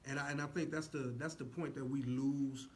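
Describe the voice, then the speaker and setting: A man's voice: medium pitch, steady tone, normal speed, a little mellow. A man speaking as a show guest, giving casual, preacher-like testimony, earnest and full of rhetorical questions. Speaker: stage actor, show guest.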